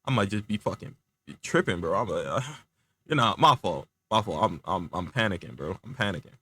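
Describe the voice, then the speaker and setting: A man voicing an anxious young man asking his friend for help in a story, halting, slangy and repeating himself. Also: deep voice, lively intonation, bright, forceful tone, slightly rough, dry recording, studio quality, pitched below the performer's own voice, exaggerated voice. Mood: uneasy, halting.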